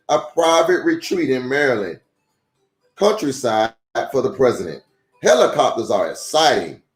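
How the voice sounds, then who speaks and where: A man reads a children's storybook aloud like a pastor at storytime, in halting, deliberate phrases with casual asides. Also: a little high. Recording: echoey, roomy sound.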